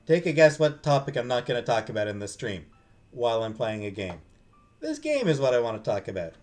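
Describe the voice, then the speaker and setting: A man's voice: mid-range voice, very animated, fairly quick, a little mellow, soft background music. A man streaming a game, reading the story aloud expressively and dropping into casual asides.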